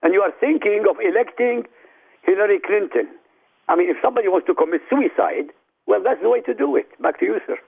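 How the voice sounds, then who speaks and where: A man from Iraq, a native Arabic speaker, argues forcefully over a phone line, as if making a speech to the listeners. His voice is high, gravelly and husky, and it comes through thin and tinny. He hammers out short declarations one after another. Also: animated, quick pace. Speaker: radio guest. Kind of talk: emphatic argument on a radio talk show.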